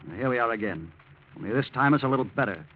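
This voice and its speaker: A man's voice: medium pitch, expressive, racing, close to an everyday voice. An actor playing a cocky young male blackmailer in 1940s radio drama style, slick, taunting and falsely reassuring. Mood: brazen, scheming. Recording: muffled, noisy old radio recording.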